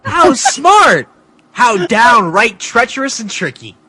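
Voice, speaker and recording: A man voicing an excitable, fearful friend in a dramatic fan fiction reading, with a husky, excited delivery. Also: very high-pitched, takes it slowly, exaggerated voice. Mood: anxious, excited.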